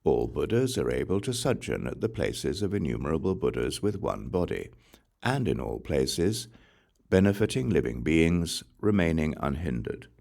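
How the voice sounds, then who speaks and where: A man reading scripture as a scripted audiobook narration in a measured, solemn way. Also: extremely low pitch, big pitch swings, gravelly, darkish tone. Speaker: audiobook reader.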